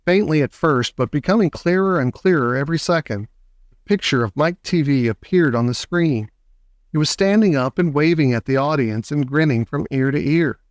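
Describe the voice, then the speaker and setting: A man reading a children's storybook aloud as an expressive audiobook narrator. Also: a little high, moderate pace, dry recording, studio quality.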